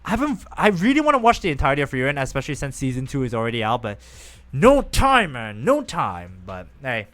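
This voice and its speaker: Young man, game streamer, rambling casual chat, lively swinging intonation. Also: mid-range voice, brisk. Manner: animated, rambling, chatting with viewers. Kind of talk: casual live-stream chat while gaming.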